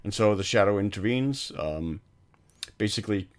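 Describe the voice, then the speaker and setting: Male podcast co-host, low pitch, casual film talk, halting and fragmentary delivery. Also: lively intonation. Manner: halting, piecing thoughts together in fragments. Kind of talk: casual film discussion, recounting scenes.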